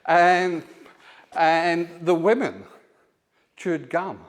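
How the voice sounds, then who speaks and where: A man's voice: on the high side, extremely slow. Hesitant man teaching a church group. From born in Scotland, raised in Melbourne. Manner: informal, hesitant with many ums, laughs along with the group. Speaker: guest teacher. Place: church hall.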